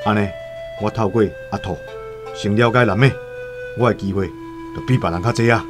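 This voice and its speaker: A male actor plays a shrewd, self-serving trader in a radio drama, telling his side with a calculating air. The voice is low, with a Taiwanese accent and faint music behind it. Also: fairly even intonation, brisk, lightly acted. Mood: sly, self-justifying. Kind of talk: radio drama dialogue and first-person storytelling.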